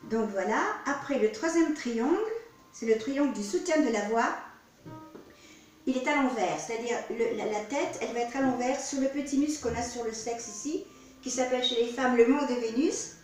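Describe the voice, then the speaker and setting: A woman's voice: on the high side, normal speed, a little mellow, faint music behind. A woman singing teacher with a cold, explaining vocal technique to her students in a demonstrative way, often repeating her words. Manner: demonstrative, repeats words, talks through gestures. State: has a cold. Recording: echoey room, distant mic.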